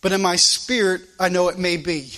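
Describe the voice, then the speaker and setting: A man's voice: sits high, fairly even intonation, moderate pace, pushed and bright. A man preaching a sermon with fervent, emphatic delivery and many rhetorical questions, in a church. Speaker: pastor. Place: church sanctuary. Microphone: live stream recording.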